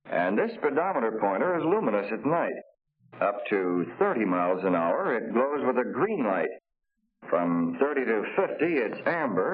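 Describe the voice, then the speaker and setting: Practical car enthusiast, husky, lively swooping pitch, chatty and emphatic, tinny 1940s film soundtrack. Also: male voice. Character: practical, eager male customer admiring a new car. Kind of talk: 1940s promotional film acting.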